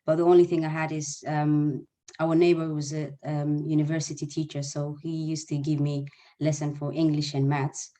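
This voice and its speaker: A man's voice: on the high side, flat delivery, moderate pace, dark tone. A young man from Eritrea who grew up in Sudan, a native Arabic speaker, answering interview questions earnestly in a webinar, with small grammar slips. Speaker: guest, nursing student and former refugee.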